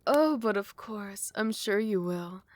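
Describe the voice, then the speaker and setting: A woman playing a possessive yet tender royal vampire in love, speaking in formal, old-fashioned phrasing with breathy half-whispers in an intimate ASMR roleplay. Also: medium pitch, animated, takes it slowly. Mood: smug, impatient.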